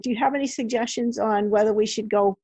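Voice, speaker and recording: A woman's voice: fairly high pitch, fairly even intonation, fast talker, mellow, husky, no room sound. A woman hosting an interview, asking questions and commenting in a conversational way, on a dull, lo-fi recording. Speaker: interviewer, host.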